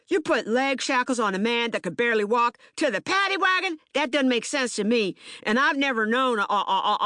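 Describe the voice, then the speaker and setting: A woman's voice: a little high, fairly bright tone, dry recording, studio quality. A woman performing a theater monologue as an agitated, streetwise young man, speaking emphatically and colloquially with stammered repetitions. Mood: agitated, vivid. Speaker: actor in documentary theater. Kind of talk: dramatic monologue, audiobook theater.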